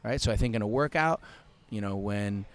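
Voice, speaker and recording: A man talking casually as a podcast guest, relaxed and joking, with many fillers. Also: lowish voice, normal speed, dry recording. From United States, Cuban family. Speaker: co-founder of a fitness festival, podcast guest.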